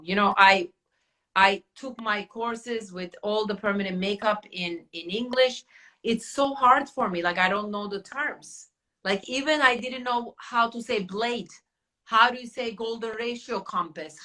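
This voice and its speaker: Woman host with a Turkish accent, animated and quick to laugh, bright tone. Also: a little high, low-quality recording. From Turkey. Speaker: academy owner and podcast host. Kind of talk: casual podcast chat.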